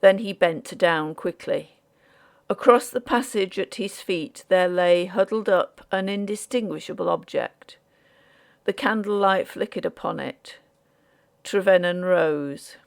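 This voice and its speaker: A woman reading scripted audiobook narration in a measured, deliberate way, as for a Victorian ghost story. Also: on the low side, creaky.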